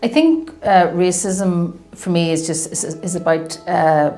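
Middle-aged female executive, low voice, earnest explanatory interview, soft music bed. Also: a little slow. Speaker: chief executive of an anti-discrimination charity. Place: indoors in front of a logo backdrop.

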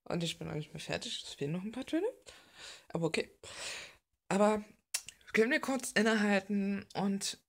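A woman's voice: medium pitch, moderate pace, gravelly, pauses often. A woman chatting casually and bluntly in slangy, rambling fashion, like an informal art vlogger. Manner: informal, blunt, slangy, gushing about details. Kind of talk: casual rambling chat while drawing.

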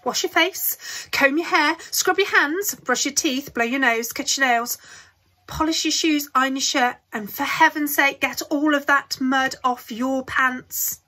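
A woman voicing an excited elderly grandfather who shouts eagerly in a storybook reading. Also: high-pitched, a little slow, voice pushed up, low-quality recording. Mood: urgent excitement.

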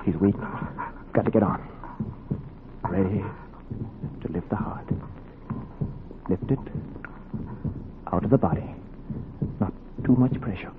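An actor playing a steady, commanding surgeon in a 1940s radio drama, giving terse, measured orders. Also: male voice, low voice. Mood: tense, concentrated. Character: commanding, steady male surgeon mid-operation. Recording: tinny, noisy 1940s radio recording.

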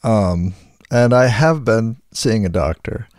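A middle-aged man co-hosting a casual podcast chat, sometimes hoarse and clearing his throat, rambling with many restarts. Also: on the low side, lively intonation, takes it slowly, darkish tone, dry recording, studio quality. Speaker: podcast co-host.

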